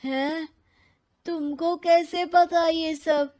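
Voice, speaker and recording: A wide-eyed, curious relative in a cartoon moral tale, voiced by a woman. The voice is very high and the words come slowly, with an easily amazed manner. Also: steady tone, darkish tone, full character voice. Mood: timid, curious. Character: wide-eyed, astonished family member in a moral story. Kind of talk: animated moral story voice acting.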